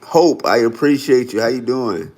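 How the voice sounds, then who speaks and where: A man's voice: medium pitch, animated, moderate pace, darkish tone, a little hiss or hum. A man, talking casually and loosely to viewers on a livestream. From Florida. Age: 40s. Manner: loose, chatty, lots of "y'all" and "right?". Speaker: livestream host.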